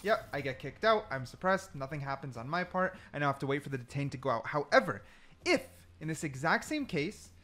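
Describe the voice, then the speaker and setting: A man doing excited gameplay commentary, thinking aloud and often repeating himself. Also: a little high, a little fast. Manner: repetitive, exclamatory, thinking aloud. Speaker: gaming content creator testing game mechanics.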